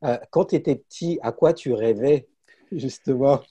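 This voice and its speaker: A man's voice: medium pitch, normal speed, warm tone, slightly rough. A man co-hosting a podcast, introducing the guest and asking questions in an upbeat way, often stumbling over repeated words. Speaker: podcast co-host. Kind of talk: hosting an interview.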